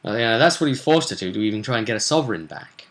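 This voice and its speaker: A man's voice: lowish voice, expressive, racing, fairly bright tone. A man talking casually as a game master, recapping a tabletop session in a chatty, rambling, explanatory way. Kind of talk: casual vlog recap of a role-playing session.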